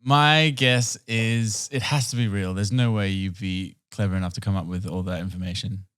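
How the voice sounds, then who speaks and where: A young man in his twenties co-hosting a casual home podcast on a close boom mic, reacting with short quips and exclamations. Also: steady tone, normal speed, fairly bright tone, smooth. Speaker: podcast co-host. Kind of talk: casual chat. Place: home room with shelves, indoors. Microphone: boom studio mic close up.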